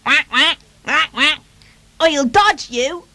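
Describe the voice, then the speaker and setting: A woman voicing a small, excitable duckling in a children's story, cheeping and quacking timidly but cheekily. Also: high-pitched, takes it slowly, no room sound, pitched above the performer's own voice, heavily acted. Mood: cheeky.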